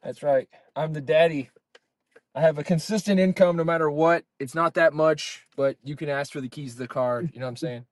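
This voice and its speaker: A man's voice: a little high, fairly quick, no echo. A man from the United States hosting a casual chat, blunt and loose, often repeating himself. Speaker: host.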